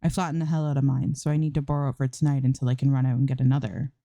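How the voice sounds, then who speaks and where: A woman's voice: a bass voice, level tone, rapid-fire, dark tone, no room sound. A woman voicing a nonchalant, blunt young woman character in a fan fiction reading. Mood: casual, blunt. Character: cool, unbothered, blunt young woman, casual roommate.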